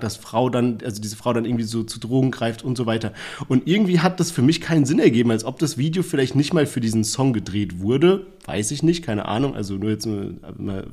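A man's voice: medium pitch, a little mellow. A man co-hosting a podcast, chatting loosely about rap releases with plenty of fillers and hedges. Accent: Palatinate German. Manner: loose, filler-heavy, hedging. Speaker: podcast co-host.

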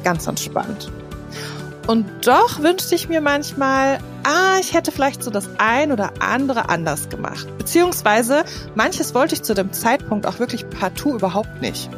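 A woman in her thirties hosting a podcast, chatty and lively, laughing often as she talks with her guest. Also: sits high, unhurried, music in the background. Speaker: podcast host, wedding planner. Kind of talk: podcast interview, casual chat. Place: home.

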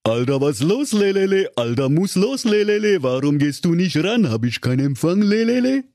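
A man's voice: extremely high pitch, animated, normal speed, no echo, clean studio sound, voice pushed up. A man voicing a grumpy young rapper who talks in broken street slang with a sing-song filler. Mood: grumbling.

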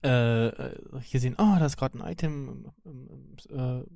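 Man chatting casually, halting, close dry sound. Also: medium pitch, creaky, dark tone, no echo. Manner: halting, searching for words, repeating himself. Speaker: podcast co-host. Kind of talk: casual chat about animated series and games.

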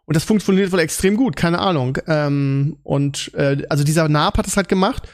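Male podcast host, German, higher register, loose conversational delivery that circles back on itself. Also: moderate pace. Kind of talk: casual gaming podcast chat.

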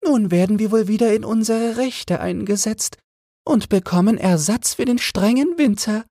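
A man's voice: high-pitched, moderate pace, warm tone, dry recording, studio quality, voice pushed up. A man voicing a small, cheeky, opinionated sparrow with chirpy, self-assured talk in a fairy tale audiobook. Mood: smug.

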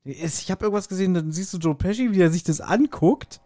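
A man with a soft, dark-toned voice co-hosts a podcast, recorded very dry. He chats casually and explains his opinions in slangy language. His pitch sits a little above average. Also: fast, no echo. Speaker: podcast co-host. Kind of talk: casual chat.

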